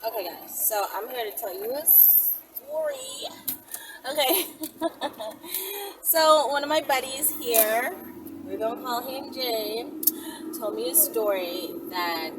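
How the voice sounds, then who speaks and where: Woman, 40s, chatty vlogger, very lively intonation, recorded away from the mic in a vehicle. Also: a little high, slow pace, long pauses. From the Bahamas. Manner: chatty, playful, rambling with asides. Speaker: vlogger telling stories to viewers. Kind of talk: casual storytelling vlog. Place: inside a moving vehicle. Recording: distant mic, vehicle noise.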